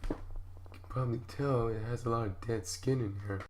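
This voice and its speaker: Young male vlogger, sick and stuffy-nosed, casual chat, excitable outbursts. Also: medium pitch, brisk, a loud crowd. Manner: loud, excitable reactions. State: sick with a cough and stuffy nose. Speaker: YouTube host reacting to videos.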